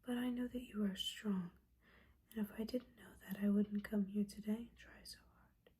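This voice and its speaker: A woman playing a gently judging, caring witch mentor in an ASMR roleplay, whispering soothingly and conversationally. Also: mid-range voice, steady tone, moderate pace. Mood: reassuring. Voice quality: breathy whisper.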